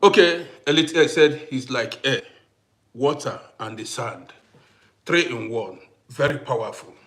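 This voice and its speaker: A man hosting a casual livestream who greets viewers by name, repeats himself, and swings between loud bursts and quiet asides. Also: mid-range voice, measured pace. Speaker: livestream host.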